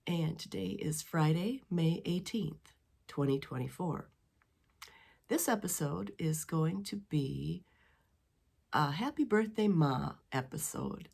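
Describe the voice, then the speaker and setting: A woman's voice: sits low, lively intonation, slow, creaky, darkish tone, slightly rough. A middle-aged woman hosting a knitting podcast from her dining room at home, talking in a chatty, rambling way with a stuffy head from a cold. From western Wisconsin. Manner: chatty and rambling, with many ums and restarts. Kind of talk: casual chat.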